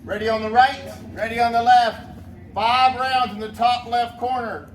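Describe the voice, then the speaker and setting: A man's voice: extremely high pitch, flat delivery, moderate pace. A man teaching a firearms class at an indoor range, upbeat and playful as he calls out commands. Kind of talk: loud instructions to a class. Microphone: amplified voice. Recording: echoey, distant, noisy range.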